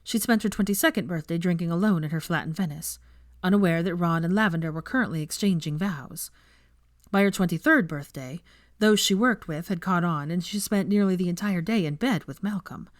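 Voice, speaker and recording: A woman narrating a story in an expressive audiobook reading style. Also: fairly low pitch, a little fast, no room sound, studio quality.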